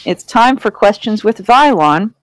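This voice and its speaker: A woman hosting a casual interview, excitable and exclamatory, with a habit of swearing freely. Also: on the high side, unhurried, no room sound, poor audio. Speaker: podcast host.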